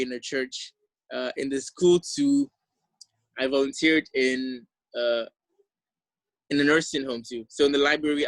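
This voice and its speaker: A young man from Ghana with a Ghanaian accent softened toward American, giving a friendly, enthusiastic talk over slides. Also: medium pitch, no room sound, rough recording. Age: young adult. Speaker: exchange alumnus presenter. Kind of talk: casual presentation over slides.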